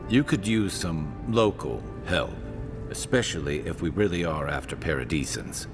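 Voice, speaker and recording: Worldly fantasy game sidekick: deep pitch, dry, conversational, understated counsel. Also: male voice, expressive, moderate pace, over music. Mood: dry, advising. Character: dry, worldly male companion advising the envoy. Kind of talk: video game dialogue, conversational.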